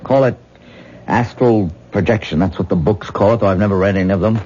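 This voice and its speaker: A man's voice: on the low side, brisk, dark tone, husky. A male actor playing an obsessive, self-absorbed stage-actor husband in a 1970s radio drama, with a rapturous, theatrical, musing manner. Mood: earnest, insistent. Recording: muffled, hissy 1970s radio recording.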